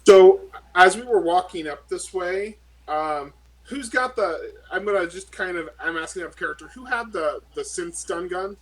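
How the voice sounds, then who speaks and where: A man's voice: high-pitched, expressive, normal speed, poor audio. A man chatting casually as a tabletop role-player, rambling and searching for words as he describes actions. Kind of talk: casual role-play chat.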